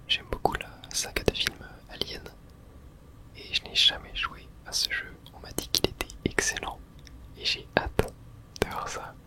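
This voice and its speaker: A person whispering softly and gently, with no voice in it, close to the microphone, as the host of an ASMR game playthrough. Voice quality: soft breathy unvoiced whisper. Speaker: video game streamer and host.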